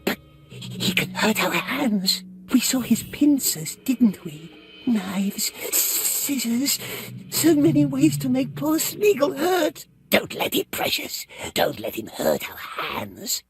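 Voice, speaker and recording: An actor playing a small, wretched, fearful creature with a raspy, throaty voice, wheedling and talking of itself as "we" in broken grammar in a video game. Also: mid-range voice, huge pitch swings, slow pace, full character voice. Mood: fearful, pleading. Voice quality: raspy, throaty, whispery at times. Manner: speaks of himself as "we" and in third person, broken grammar. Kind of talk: video game character acting.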